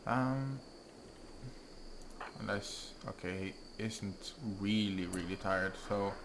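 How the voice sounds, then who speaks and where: A young man with a Norwegian accent, English not his first language, casually talking through his gameplay and thinking aloud with frequent ums and pauses. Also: fairly low pitch, noisy background. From Norway. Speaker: gaming channel host. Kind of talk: let's play commentary.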